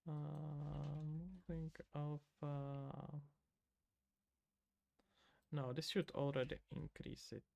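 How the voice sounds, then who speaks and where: A man's voice: on the high side, little pitch movement, extremely slow, vocal fry, pauses often, a little mellow. A man from the Czech Republic with a Czech accent, streaming live as an indie game developer, muttering to himself as he codes and casually answering chat. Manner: casual, mutters to himself while working, loose asides to chat.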